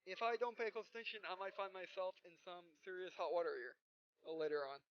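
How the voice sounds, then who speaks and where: Male let's player, high-pitched, casual, stumbles through dialogue; dull home recording. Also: fairly even intonation. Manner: halting, stumbling read-aloud with self-corrections. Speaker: gaming video host. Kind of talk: casual let's play, reading dialogue aloud.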